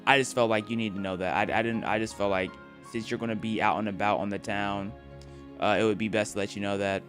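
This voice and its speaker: A man's voice: lowish voice, steady tone, quick pace, a bit bright, soft background music. A tired young man live-streaming late at night, rambling and joking with his chat, often repeating and restarting his words. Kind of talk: casual live-stream chatter.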